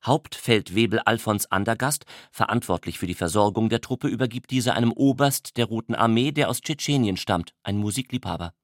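A man's voice: lowish voice, fairly quick, hardly any pauses, dry recording, studio quality. A man narrating a scripted text in a documentary style.

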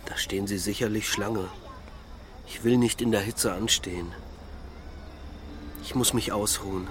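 Weary, low-voiced middle-aged husband. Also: male voice, steady tone, measured pace, a bit bright, slight background noise. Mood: weary, complaining. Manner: listless, brooding, short phrases. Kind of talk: radio drama acting.

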